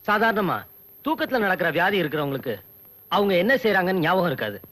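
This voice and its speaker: A man's voice: high-pitched, big pitch swings, quick pace, fairly bright tone. An actor playing a sarcastic man doctor who teases and scolds his patients. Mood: teasing. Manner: sarcastic, teasing.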